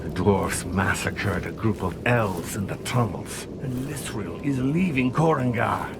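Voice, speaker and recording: A man's voice: lowish voice, measured pace, gravelly, background noise. An actor playing a solemn, menacing male elf soldier in a video game, speaking in a portentous way. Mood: grave.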